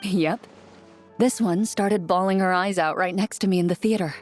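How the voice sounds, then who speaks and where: A woman's voice: medium pitch, moderate pace, faint music behind, natural voice. A woman voicing a dry, teasing young woman in a game. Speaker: game character.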